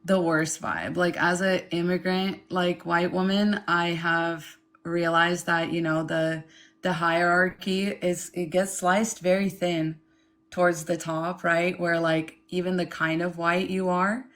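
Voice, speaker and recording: A young woman from Ukraine, a guest on a casual podcast chat, speaking candidly and in an animated way. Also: fairly low pitch. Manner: candid and animated, mocks others in quoted asides. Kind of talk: casual conversation.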